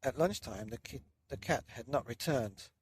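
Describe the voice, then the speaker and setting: A man reading a children's bedtime story in a loose, dramatic way with drawn-out emphasis. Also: medium pitch, expressive, a little hiss or hum. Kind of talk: children's story narration, partly improvised.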